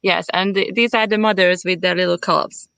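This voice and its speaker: A woman from Hungary with a Hungarian accent, chatting as an interview guest in a halting way as she searches for words. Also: lowish voice, fairly even intonation, creaky, fairly bright tone, smooth, dry recording. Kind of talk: casual conversational interview. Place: at home in the countryside.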